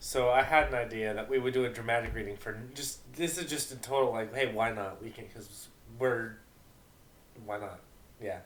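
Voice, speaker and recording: Man, medium pitch, casual host chat, rambling, self-deprecating. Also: normal speed, roomy sound. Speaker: host and reader of a dramatic reading.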